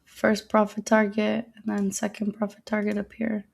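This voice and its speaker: A woman's voice: medium pitch, monotone, normal speed, creaky, a little mellow. A woman co-hosting a live trading stream, giving casual market commentary.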